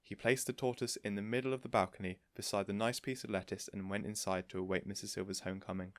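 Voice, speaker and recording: A man with a fairly low voice reads a children's story aloud. It is scripted audiobook narration, recorded dry with very little room sound. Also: little pitch movement, fast, no room sound. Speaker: audiobook reader.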